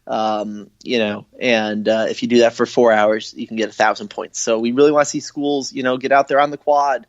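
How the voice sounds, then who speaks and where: A man's voice: mid-range voice, steady tone, dry recording. Man explaining things, dull lo-fi audio. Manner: hesitant, halting, filler-heavy delivery. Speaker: radio show guest explaining a contest. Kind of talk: informal explanatory interview talk.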